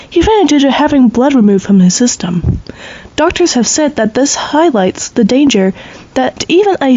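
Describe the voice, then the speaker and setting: A woman's voice: high-pitched, warm tone. An actress playing a formal, composed female news anchor reading a scripted bulletin. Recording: dull, slightly muffled sound.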